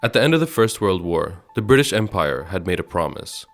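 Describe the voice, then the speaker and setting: A man with a low-pitched voice hosts a podcast. He reads scripted narration in a measured, articulate way, like a radio journalist introducing his program. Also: sing-song, soft background music. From Sweden, grew up in Egypt and Jordan.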